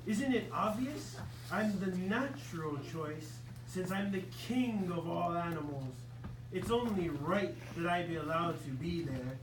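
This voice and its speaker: A man's voice: sits high, lively intonation, measured pace. A man voicing a boastful, fierce lion king in a puppet show, with a pompous, snarling manner. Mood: pompous, indignant. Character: boastful, violent lion king demanding to be called Majesty. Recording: noisy, roomy recording from far off.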